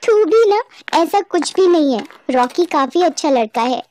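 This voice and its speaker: A woman's voice: very high voice, expressive, normal speed, a little mellow, slight background noise. A woman voicing a naive, emotional teenage schoolgirl in a cartoon story, with a girlish, pleading manner. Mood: dismissive.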